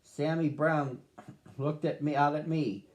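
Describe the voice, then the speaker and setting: A man reading a rhyming picture book aloud to children, with a slow, sing-song rhythm. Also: on the high side, fairly even intonation, a little mellow. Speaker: storybook reader.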